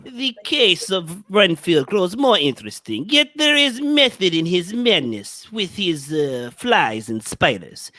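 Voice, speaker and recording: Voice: mid-range voice, sing-song, slow, hardly any pauses, bright, forceful tone, exaggerated voice. A performer playing a fascinated male asylum doctor dictating case notes, musing and theatrical, in a horror play.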